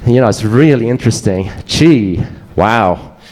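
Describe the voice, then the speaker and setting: Man with a Swedish accent and a low, warm voice, presenting casually and wryly to a crowd. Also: animated, a little slow. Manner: casual, joking, digressive. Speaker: conference speaker. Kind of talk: presentation talk to a live audience.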